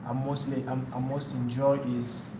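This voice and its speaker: A man introducing himself in a halting, hesitant way. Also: mid-range voice, flat delivery. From Republic of Congo. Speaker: program participant. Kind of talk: self-introduction. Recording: roomy, noisy room.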